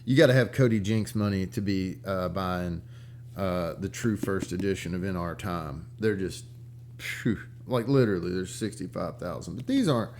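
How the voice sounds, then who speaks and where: A man's voice: low voice, moderate pace, darkish tone, a little hiss or hum. A man casually rambling through stories like a vlog host, irreverent and slangy with some swearing, often stopping to repeat his words. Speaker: vlog host and book collector. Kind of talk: casual rambling storytelling about rare books.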